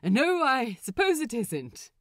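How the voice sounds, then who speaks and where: An exasperated young male pirate with a quick wit, acted out by a woman narrator. The voice is sassy and flustered, pitched up and swinging widely, in a dry storybook recording. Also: big pitch swings, no echo, full character voice. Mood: flustered, careful.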